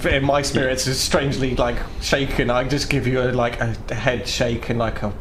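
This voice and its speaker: A man's voice: mid-range voice, flat delivery, moderate pace. A man playing a tabletop game, describing his actions with nervous laughter. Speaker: player. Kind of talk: in-character tabletop play. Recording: noisy home recording with ambience.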